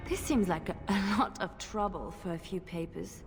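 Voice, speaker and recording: A woman's voice: lowish voice, lively intonation, moderate pace. An actress playing a young woman rogue who has been wronged and wants revenge, in a video game. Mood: resolute, guarded. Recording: long hall reverb, music behind.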